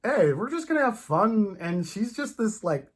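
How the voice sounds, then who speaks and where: A podcast host chatting casually as a fan, in an animated, rambling, stop-start way with playful asides. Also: medium pitch, moderate pace, husky. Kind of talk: casual comic book fan chat.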